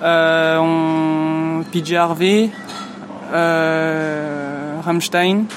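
A woman's voice: low voice, steady tone, extremely slow, music in the background. A woman whose first language is English, a musician answering interview questions hesitantly, with many fillers and restarts. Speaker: musician in a duo. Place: lives in France.

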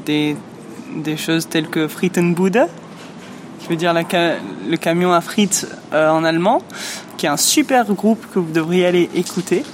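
A woman's voice: fairly low pitch, normal speed, slight background noise. A woman whose first language is English, a musician answering interview questions hesitantly, with many fillers and restarts. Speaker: musician in a duo. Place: lives in France.

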